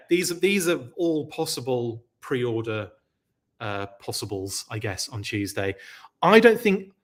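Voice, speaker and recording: Brief: male host, casual rambling live chat, slightly rough throat. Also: mid-range voice. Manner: chatty, rambling, self-correcting. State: scratchy throat. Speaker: podcast host. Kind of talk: live stream chat reading comments.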